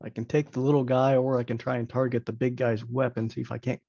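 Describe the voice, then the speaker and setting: Gravelly man playing a tabletop game. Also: medium pitch, flat delivery, fast talker, warm tone, no room sound, highs cut off. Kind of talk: casual game chat.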